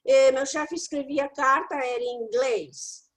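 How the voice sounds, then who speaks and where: A woman's voice: high voice, steady tone, measured pace. A middle-aged woman with a Brazilian Portuguese accent, a guest English teacher telling her stories emphatically in casual conversation. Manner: emphatic, storytelling.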